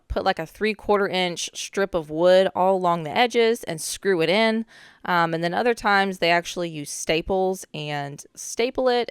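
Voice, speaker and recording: A young woman, a little hoarse from a cold, casually narrating her own renovation footage with chatty asides. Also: on the low side, dry recording, studio quality. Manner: chatty, relaxed, with frequent "um" asides. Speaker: DIY renovation vlogger.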